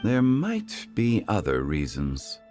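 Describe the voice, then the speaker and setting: A man voicing a calm, learned consultant in a game, speaking in a measured, stately way. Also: on the low side, exaggerated voice. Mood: composed, solemn. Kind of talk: formal game cutscene narration of history. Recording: music under the voice.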